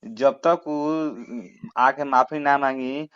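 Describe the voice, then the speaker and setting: A man's voice: medium pitch, drawn-out words, fairly bright tone, clean tone, dry recording. A man with a Bhojpuri accent arguing on the phone, insistently repeating his demands. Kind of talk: phone argument. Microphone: phone call. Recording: dull lo-fi phone call recording.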